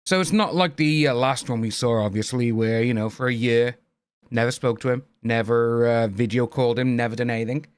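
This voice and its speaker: A man's voice: medium pitch, a little fast, clear, resonant tone, no echo. A man giving casual, rambling commentary while reacting to a video, with sympathetic asides. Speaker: reaction streamer.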